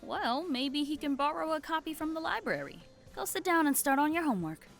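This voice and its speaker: A woman playing a brisk, friendly mother who works a café counter in an audio drama. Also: high voice, animated, creaky, a bit bright, faint music behind, heavily acted. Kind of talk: naturalistic audio drama dialogue.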